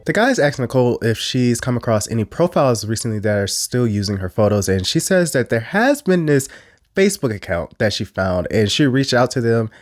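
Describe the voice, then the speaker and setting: A man with a congested, stuffed-up voice gives chatty commentary. He is blunt and a bit crude, with a mid-range pitch. His voice is close and dry, with no room sound behind it. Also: very animated, fairly quick, no room sound, faint music behind. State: congested from a cold. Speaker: YouTube commentator. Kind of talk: casual reaction commentary.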